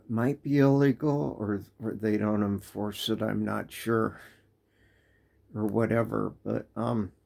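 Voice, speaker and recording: An American man telling a personal story in a casual, halting way, full of restarts and fillers. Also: on the low side, gravelly, a little mellow. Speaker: vlogger. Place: living in Japan.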